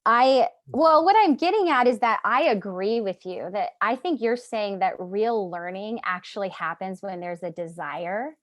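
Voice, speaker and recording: A woman guest on a podcast, talking enthusiastically in quick bursts about education. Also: medium pitch, no room sound. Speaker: podcast guest, homeschooling advocate. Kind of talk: casual chat.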